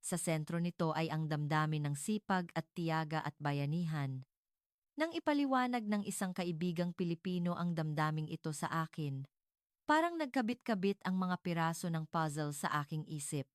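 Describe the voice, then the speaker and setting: A woman with a low voice narrates a scripted story in a formal, measured way. The recording is dry and close, with hardly any room sound around her dramatic narration. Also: lively intonation, smooth, no room sound.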